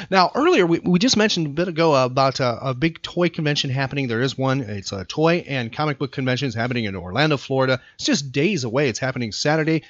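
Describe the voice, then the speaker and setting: Casual American male podcast host, emphatic, lightly high pitch, very dry studio sound. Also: dry recording, muffled recording. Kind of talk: casual talk-show hosting.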